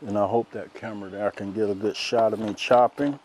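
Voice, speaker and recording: A soft-spoken middle-aged man, demonstrating a recipe outdoors as a cooking show host in a relaxed, folksy way. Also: on the low side, brisk, noisy background. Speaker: chef host. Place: outdoors by a lake in woods.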